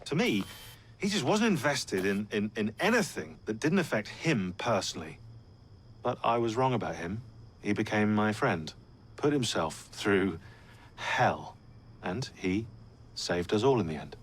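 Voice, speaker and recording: An actor playing a weary, wry modern assassin in a video game, with a British accent and a halting, dry way of talking. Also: male voice, lowish voice, sing-song, slightly rough, heavily acted. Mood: regretful, sincere. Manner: dry sarcasm, halting confessional delivery. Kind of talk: naturalistic video game acting.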